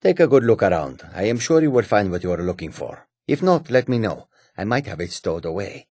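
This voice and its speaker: A male actor playing a courteous, sly humanoid cat trader in a fantasy video game, with a gravelly, husky voice and measured, formal speech. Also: on the low side, very animated, a little mellow, no room sound, clean studio sound. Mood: welcoming. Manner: measured, formal, refers to himself in third person.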